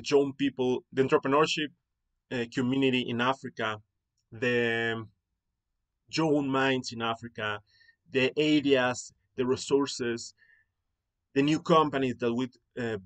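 A man from Mexico with a Mexican Spanish accent, hosting a live online presentation as founder of an academy, earnest and repetitive with many fillers and rephrasings. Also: medium pitch.

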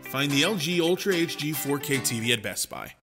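A man's voice: on the high side, lively intonation, fairly quick, music in the background. A man working as a voice actor, reading scripted narration for a demo. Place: home recording setup.

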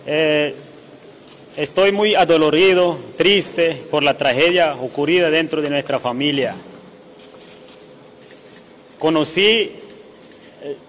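A young man from Nicaragua's Caribbean coast giving a halting, sorrowful statement to reporters at a police press conference. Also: on the high side, fairly bright tone. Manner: slow, halting, grieving. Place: large indoor briefing room. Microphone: cluster of reporters' mics and phones. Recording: reverberant hall with faint noise.

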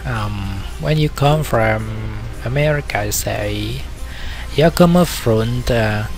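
Male teacher, very slow and halting, low gravelly voice, faint music underneath. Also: poor audio. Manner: slow, halting, many repetitions and fillers. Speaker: language teacher. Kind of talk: screen-recorded language lesson.

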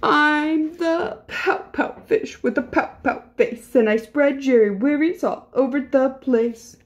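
A woman's voice: sits high, slow pace, dull audio, pitched above the performer's own voice. A woman voicing a glum, gloomy male fish from a children's storybook, sulky and resigned, in a mopey sing-song. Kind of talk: children's picture-book character voice.

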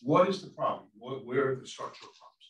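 A man's voice: medium pitch, expressive, quick pace. A man moderating a panel discussion, posing questions with frequent hesitations. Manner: hesitant, many fillers. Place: conference room. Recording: echoey room from far off, faint noise.

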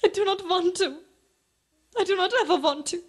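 A woman's voice: extremely high pitch, moderate pace. An actress playing a sorrowful, guarded Czech woman in a postwar radio drama. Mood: sad, weary.

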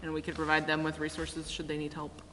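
A man talks quickly in a bright, forceful voice with fairly even pitch. He briefs a meeting off the cuff. The chamber adds long reverb. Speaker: city staff member briefing the council. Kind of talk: unscripted explanation. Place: indoor council chamber. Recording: reverberant room with faint noise.

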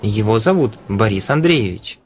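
A man's voice: fairly low pitch, animated, normal speed, faint music behind. A man reading scripted dialogue for a language course.

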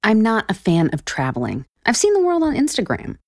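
An actress playing an overwhelmed, sarcastic, foul-mouthed author in an audio romantic comedy. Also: female voice, medium pitch, huge pitch swings, brisk, darkish tone. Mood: exasperated. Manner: sarcastic, exasperated.

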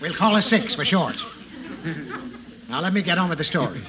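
A man's voice: high-pitched, animated, normal speed, a crowd in the background. An actor playing an exasperated, fussy, scolding father in a 1950s radio comedy. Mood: stern storytelling. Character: exasperated, scolding father of a naughty little girl. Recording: dull, noisy old radio recording.

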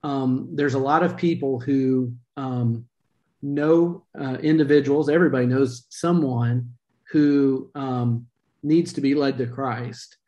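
A man's voice: mid-range voice, fairly even intonation, slow pace, dark tone, dull audio. A middle-aged man hosting a video-call interview, talking earnestly with a few fillers. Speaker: podcast host. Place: home room, indoors. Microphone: video call webcam.

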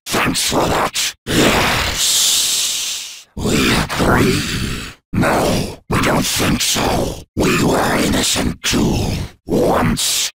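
A monstrous alien symbiote growls in a very deep, gravelly voice that swings wildly up and down. He speaks slowly and calls himself "we", threatening to eat his foes. The game clip carries loud background noise. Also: full character voice. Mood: brooding. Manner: speaks of itself as "we", threatening and gloating. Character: monstrous male alien symbiote, hungry and menacing. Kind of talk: video game voice clips.

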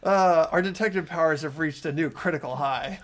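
A man's voice: fairly high pitch, fairly quick. A man hosting a game playthrough, reading narration and chatting casually with friends.

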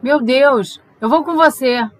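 A woman's voice: high-pitched, takes it slowly. A woman playing a devoted, probing girlfriend in an audio drama. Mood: worried.

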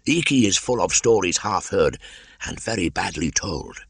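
A man voicing a wary old male python in a storybook reading, speaking slowly and deliberately. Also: low voice, lively intonation, creaky, a bit bright, slightly rough, no room sound, voice pushed up, exaggerated voice. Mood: cool, measured. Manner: slow, drawn-out and measured. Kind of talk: audiobook character voice.

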